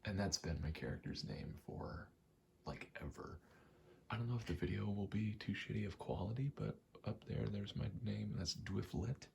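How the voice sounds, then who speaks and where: A man rambling casually in a soft, half-whispered ASMR style, telling stories in slangy, meandering, sweary speech. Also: fairly low pitch, normal speed, vocal fry, slight background noise. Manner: soft-spoken, often dropping into whisper. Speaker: ASMR video host.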